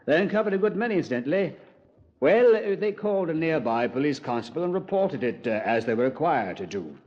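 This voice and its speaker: A man's voice: a little high, very animated, fairly quick. Casting: British senior policeman, genial, understated, chatty, radio drama, dull vintage sound. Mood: genial, matter-of-fact. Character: genial British police commander showing grim exhibits. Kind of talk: 1950s radio drama acting. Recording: muffled vintage radio recording.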